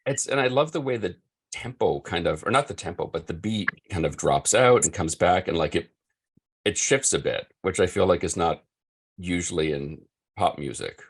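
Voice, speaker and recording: A man's voice: sits low, lively intonation, normal speed, slightly rough. A man hosting a podcast interview, easygoing and rambling, with ums and light teasing. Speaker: podcast host. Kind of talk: casual interview chat.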